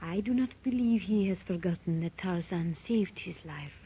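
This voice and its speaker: A woman's voice: lowish voice, normal speed, a little hiss or hum, lightly acted. An actress playing a composed, diplomatic captive woman, earnest and theatrical in a 1930s radio serial style. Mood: earnest counsel. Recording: muffled old 1930s radio recording.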